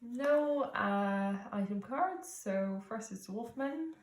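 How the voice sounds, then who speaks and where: A woman's voice: a little high, lively intonation, takes it slowly. A woman playing a board game, playful and asking about the rules. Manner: playful, asks rule questions. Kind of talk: casual board game playthrough chat. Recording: echoey room.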